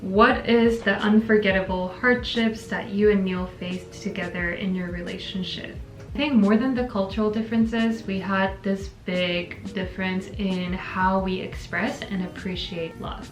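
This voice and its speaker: A woman's voice: medium pitch, steady tone, measured pace. A young woman from Korea hosting a casual relationship Q&A, answering thoughtfully and reading viewer questions aloud. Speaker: channel host. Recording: echoey room with background music.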